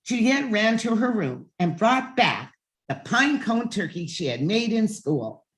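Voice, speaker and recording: Animated woman reading a bedtime story, unhurried. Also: medium pitch. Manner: expressive, emphatic reading with deliberate pauses. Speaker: storyteller reading a children's book aloud. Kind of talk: bedtime story read-aloud for children.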